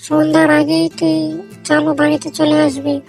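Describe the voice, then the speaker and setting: A performer acts out a caring cartoon mother in an extremely high, childlike voice. It creaks and crackles as she fondly cautions her boy. Light plucked-string music plays behind her. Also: monotone, moderate pace. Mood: mildly cautioning. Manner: fond, cautioning. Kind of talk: cartoon character dialogue.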